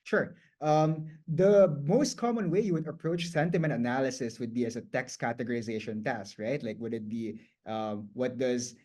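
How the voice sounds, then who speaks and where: A man who grew up speaking Tagalog gives a guest lecture at an ordinary, mid-range pitch. He explains things casually, reaching for words and leaning on "um" and "like" as he restarts his phrases. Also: moderate pace, few pauses, darkish tone. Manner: loose and conversational, frequent "um" and "like", restarts mid-phrase.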